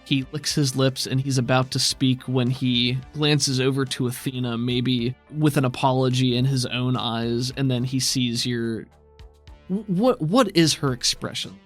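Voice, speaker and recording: A man's voice: mid-range voice, a little slow, soft background music. Man narrating a tabletop game. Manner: descriptive, laughs easily. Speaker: game master.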